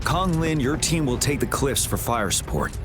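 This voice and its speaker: An actor playing a composed, resolute general in a video game, speaking in a measured, commanding way over music. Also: male voice, mid-range voice, animated, natural voice. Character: composed, resolute male general briefing his troops. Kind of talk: video game cutscene acting. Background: background music.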